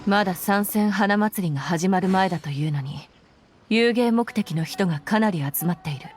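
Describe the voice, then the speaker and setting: A woman's voice: medium pitch, quick pace, a little mellow, natural voice. A woman playing a stern, dutiful military commander in a video game, formal, clipped and earnest. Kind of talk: Japanese video game voice acting. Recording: faint music behind.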